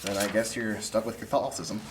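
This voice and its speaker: A man's voice: lowish voice, fairly even intonation, fairly quick, close to an everyday voice. A young man acting a laid-back, wry male college student in a table read, with casual, slangy delivery. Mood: wry, teasing. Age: young adult. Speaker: actor at a script table read. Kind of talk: casual dialogue read aloud from a script. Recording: noisy room recording.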